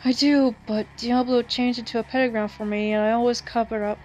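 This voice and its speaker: A woman voicing a whiny, dramatic young gothic vampire boy, pitched up and wailing. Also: fairly even intonation, very slow, dull audio, faint music behind. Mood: urgent.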